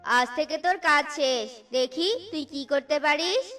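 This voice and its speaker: A woman's voice: high-pitched, lively intonation, measured pace, sharp tone, faint music behind, exaggerated voice. A woman voicing a stingy, threatening male employer in a cartoon. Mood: harsh. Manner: scolding, threatening. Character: harsh stingy male boss Jogyo.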